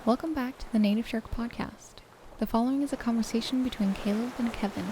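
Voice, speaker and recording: A woman reading a scripted podcast introduction in a smooth, measured way. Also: on the high side, mellow, a little hiss or hum.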